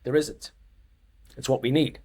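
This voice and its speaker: A man's voice: mid-range voice, fairly even intonation, unhurried, darkish tone, slightly rough. A man in his fifties with a Boston accent, a fitness coach talking as a podcast guest, stressing his points by repeating phrases. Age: 50s. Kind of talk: casual podcast chat.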